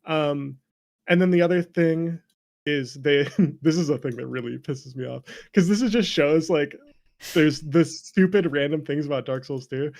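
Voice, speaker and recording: A man with a slightly high voice and a lot of creak in it chats casually about video games. He rambles through his opinions in an unguarded way and swears freely. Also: normal speed, darkish tone. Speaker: interview guest, game developer.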